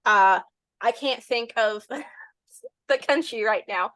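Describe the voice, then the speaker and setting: A woman's voice: a little high, takes it slowly, fairly bright tone, no room sound. A woman hosting her YouTube channel, interviewing a guest on a laptop video call in a friendly, giggly way with fillers.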